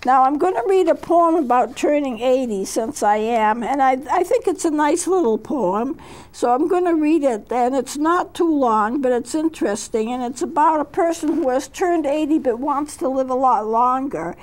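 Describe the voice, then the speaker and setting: High-voiced woman in her eighties. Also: expressive, darkish tone, rough recording. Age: 80s. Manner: unhurried, with frequent fillers and restarts. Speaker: counselor and show host. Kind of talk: reflective talk with read-aloud poetry.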